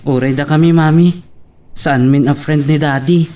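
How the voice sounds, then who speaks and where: A man's voice: fairly high pitch, fairly even intonation, normal speed, dark tone, natural voice. A man playing an aggrieved young son devoted to his mother in an Ilocano family drama. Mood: bitter, upset. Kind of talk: Ilocano family radio-style drama acting.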